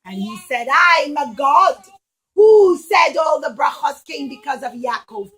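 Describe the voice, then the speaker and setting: High, bright-voiced woman telling children a story. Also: a little slow. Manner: expressive, acts out dialogue, asks children questions. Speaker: children's religion teacher. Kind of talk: storytelling puppet show lesson for young children.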